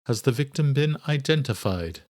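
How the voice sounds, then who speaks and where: A man voicing an elderly scholarly doctor in an audiobook, courteous and direct, with formal, deliberate, old-fashioned phrasing. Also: mid-range voice, animated, darkish tone, no echo, studio quality, voice pushed up, close to an everyday voice. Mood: troubled, direct. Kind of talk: audiobook character dialogue.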